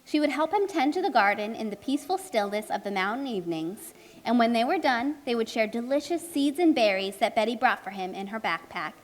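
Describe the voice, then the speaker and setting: Lady narrating a kids puppet show, high voice, expressive, big echoey room. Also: brisk. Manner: expressive, addresses young children with simple questions. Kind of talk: children's storytelling to a live audience. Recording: echoey hall, live show.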